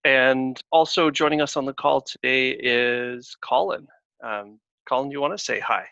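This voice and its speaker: A man hosting a casual webinar over a video call, talking in a halting way with many fillers and restarts. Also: mid-range voice, vocal fry, bright, forceful tone, dry recording. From Canada. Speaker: webinar host, consulting firm founder. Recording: dull lo-fi video call audio.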